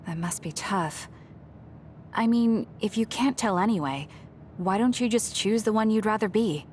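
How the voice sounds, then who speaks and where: A woman voicing a level-headed young woman in a video game, speaking calmly and directly. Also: mid-range voice, normal speed, slight background noise, close to an everyday voice. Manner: calm and direct. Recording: music under the dialogue.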